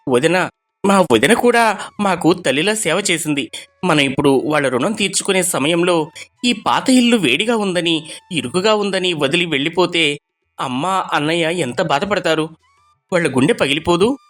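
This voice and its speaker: Dutiful son, higher-pitched male voice acted. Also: expressive, moderate pace, fairly bright tone, soft background music. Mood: earnest pleading. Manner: pleading, earnest, consoling. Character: devoted village son and brother, gentle and dutiful. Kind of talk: dramatized moral story acting.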